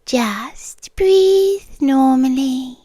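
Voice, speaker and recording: A British woman playing an eerily calm, soothing doctor with a hidden possessive streak, in a soft, breathy, whispered ASMR roleplay, close to the mic. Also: high voice, drawn-out words, no room sound, full character voice. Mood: soothing, clinical. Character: softly menacing, falsely soothing female hospital doctor, possessive girlfriend. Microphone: close, dry ASMR microphone.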